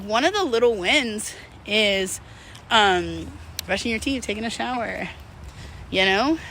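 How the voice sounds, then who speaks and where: A woman talking candidly and casually to her followers while out walking, rambling and self-deprecating as she gives motivational encouragement. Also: a little high. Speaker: vlogger. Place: outdoors, on a walk. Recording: outdoor background noise, urban traffic ambience.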